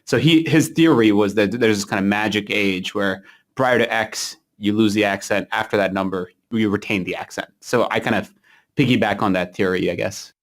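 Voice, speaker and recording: A man from India, now without an accent, telling stories as a podcast guest in a relaxed, anecdotal way. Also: fairly low pitch, moderate pace. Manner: relaxed anecdotal storytelling, speeds up in asides. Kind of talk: casual interview chat.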